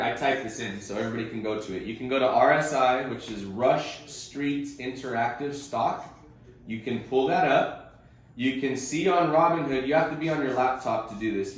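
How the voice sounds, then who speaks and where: A man's voice: mid-range voice, heavy reverb. A man coaching a group on a conference call, explaining things informally and conversationally with casual slang. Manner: casual and conversational, uses slang like bro and bud.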